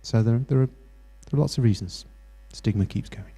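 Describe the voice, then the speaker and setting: A man's voice: medium pitch, creaky, dark tone, slightly rough. A British man facilitating a mental health workshop, encouraging and full of restarts as he talks to the group. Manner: encouraging, halting with many restarts. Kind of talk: interactive workshop teaching. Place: university hall workshop.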